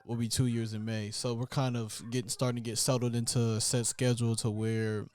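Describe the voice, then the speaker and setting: A man's voice: mid-range voice, level tone, a little fast, darkish tone, clear, resonant tone. A man in his twenties co-hosting a podcast, laid-back and slangy, talking straight to his listeners. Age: 20s. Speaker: podcast co-host. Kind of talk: casual chat.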